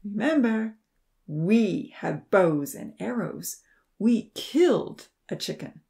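A woman's voice: fairly low pitch, normal speed, vocal fry, dark tone. A woman voicing a smug young male bully in a story, with a mocking, scoffing way of talking.

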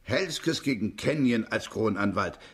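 A grumbly law office clerk in a radio play, raspy and very animated pitch. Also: male voice, measured pace. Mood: disapproving. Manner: blustery, disapproving. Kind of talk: radio drama acting.

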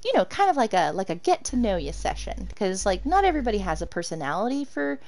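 A woman's voice: medium pitch, sing-song, fast talker, darkish tone. A woman streaming a game and chatting casually with her viewers, laughing often as she answers them. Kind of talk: casual live-stream chat while gaming.

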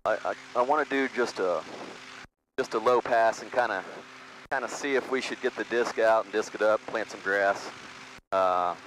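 A man's voice: fairly low pitch, moderate pace. A young man flying a small plane, chatting casually through his headset mic and thinking aloud with frequent restarts. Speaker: vlog host and pilot. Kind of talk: casual narration while flying. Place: small open-sided plane cockpit in flight. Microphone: aviation headset mic. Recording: loud engine and wind noise.

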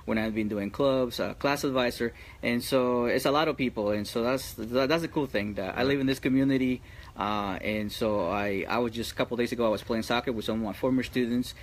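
Man from Colombia, Spanish native, middle-aged, rapid, earnest, outdoor laptop mic, faint hiss. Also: medium pitch. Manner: earnest, talks in restarts and repeats. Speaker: high school teacher, guest. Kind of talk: casual interview chat. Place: outdoors under a tree.

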